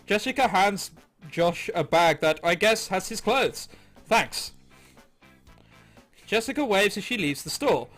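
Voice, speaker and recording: A man's voice: high voice, lively intonation, measured pace, pauses often, fairly bright tone. A man reading a visual novel aloud as a gaming host, with amused, sarcastic, sweary asides, over game soundtrack music. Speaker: host of a gaming video series.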